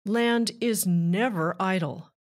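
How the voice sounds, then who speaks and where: A woman reading a rhyming children's book aloud with measured, deliberate phrasing. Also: medium pitch. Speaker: children's author and narrator.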